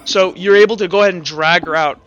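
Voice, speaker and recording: A man acting as game master in a tabletop roleplaying game, narrating off the cuff and calling for rolls in a halting, think-aloud way. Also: high-pitched, fairly even intonation, fairly bright tone, lo-fi sound.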